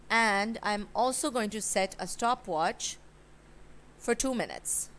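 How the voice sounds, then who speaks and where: A young woman, teaching speaking exam tips in a conversational way with many fillers. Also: medium pitch. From Pakistan. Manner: conversational, with frequent fillers and rhetorical "right?". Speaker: IELTS speaking tutor. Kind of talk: exam tips lesson with sample answer.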